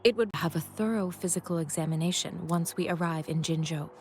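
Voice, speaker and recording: Female researcher, game role, woman actor: lowish, matter-of-fact, formal and composed, light noise behind. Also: fairly quick, lightly acted. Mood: clinical, composed. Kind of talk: video game voice acting.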